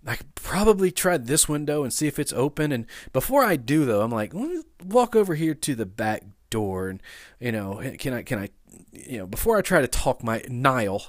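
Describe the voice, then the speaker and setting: A man, a father of young sons, giving a conversational sermon that opens with a personal story. He talks in a loose, halting way, with many restarts and fillers. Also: mid-range voice, dry recording, studio quality. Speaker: pastor.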